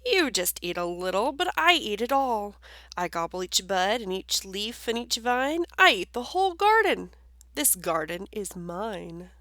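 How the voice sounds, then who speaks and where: A woman doing a loud boastful woodchuck. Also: mid-range voice, very animated, measured pace, no room sound, clean studio sound.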